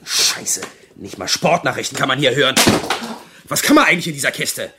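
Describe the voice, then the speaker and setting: An actor playing a gruff, hot-tempered, bossy prison inmate in a radio crime play, cursing and blunt. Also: male voice, mid-range voice, animated, brisk, very noisy, close to an everyday voice. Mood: grumbling, irritated.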